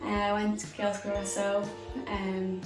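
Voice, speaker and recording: A woman's voice: mid-range voice, completely flat delivery, measured pace. A sixteen-year-old Irish girl answering interview questions casually, filling her talk with "like". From Ireland. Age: teens. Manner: chatty, lots of "like" fillers. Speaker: former student interviewee. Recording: echoey room, distant mic, music underneath.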